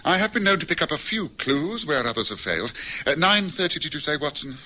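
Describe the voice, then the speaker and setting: An actor with a British accent playing a shrewd, dry-witted detective in a vintage radio drama, crisp and quietly confident. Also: male voice, on the high side, sing-song, fast, full character voice. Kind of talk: 1950s-60s radio drama acting.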